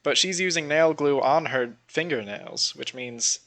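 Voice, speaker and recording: A man's voice: on the high side, measured pace, a bit bright, a little hiss or hum. A man co-hosting a podcast, casually bantering and reading out odd news stories in an informal, sweary way. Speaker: podcast co-host.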